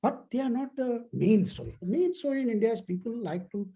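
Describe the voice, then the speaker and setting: Older man, guest lecturer, mellow voice, academic question-and-answer, discursive, emphatic, anecdotal. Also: very high-pitched, sing-song, fairly quick, gravelly.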